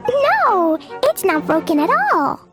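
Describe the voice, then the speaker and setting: A woman's voice: very high-pitched, big pitch swings, takes it slowly, exaggerated voice. A woman voicing a cheerful, innocent and rash little girl in a video game, with childlike, simple phrasing. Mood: innocent, matter-of-fact. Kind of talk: scripted video game voice acting. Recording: studio voice over game music.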